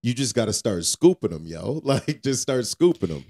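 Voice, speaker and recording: A man's voice: mid-range voice, big pitch swings, moderate pace, a little mellow. An American man co-hosting a podcast, speaking casually with slang and repeating phrases for emphasis. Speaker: podcast co-host. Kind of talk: casual conversational podcast talk.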